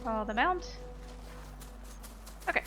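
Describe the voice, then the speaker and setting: A woman's voice: fairly high pitch, animated, slow pace. A woman giving casual gameplay commentary, playfully exclaiming and talking to herself and the game. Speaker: video game streamer. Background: game music and sound effects.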